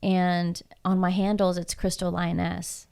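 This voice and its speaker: A woman's voice: lowish voice, little pitch movement, unhurried, gravelly, a little mellow, clear, resonant tone. A woman from Houston, Texas, whose first language is Spanish, talking as a podcast guest, emphatic and reflective and full of rhetorical questions. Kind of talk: casual podcast conversation.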